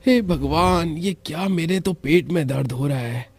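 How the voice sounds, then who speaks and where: Man doing a whining, high-strained panicky villager. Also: a little slow, creaky, soft background music. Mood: groaning discomfort. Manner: whining, wailing exclamations. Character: panicky village husband, whining and pleading for life. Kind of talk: Hindi animated horror story acting.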